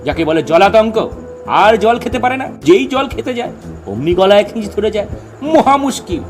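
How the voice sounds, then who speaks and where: Comedy audio drama: male actor as excitable know-all scientist, didactic and insistent, high male voice with lively, wide-ranging intonation. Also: fairly quick. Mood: eager. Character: pedantic, excitable male amateur scientist lecturing eagerly. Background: background music.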